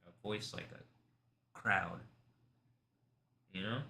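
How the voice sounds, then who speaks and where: A man hosting a live stream, talking in an intense, rambling way, confrontational at times and soft-spoken at others. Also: sits low, fairly even intonation, takes it slowly. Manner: calls himself soft-spoken. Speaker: livestream host.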